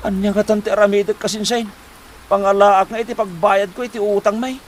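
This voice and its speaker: A man's voice: high-pitched, level tone, fairly quick, natural voice. A man acting a worried, debt-ridden husband in an Ilocano comedy drama, with an Ilocano accent and a flustered, stammering, sighing manner. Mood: anxious, pleading. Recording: noticeable background noise.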